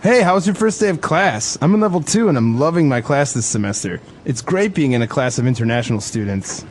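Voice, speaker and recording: A man's voice: mid-range voice, lively intonation, a little fast. A man with a mid-range voice reads a student's part in a scripted lesson dialogue. He sounds enthusiastic and encouraging, with faint ambient noise behind him.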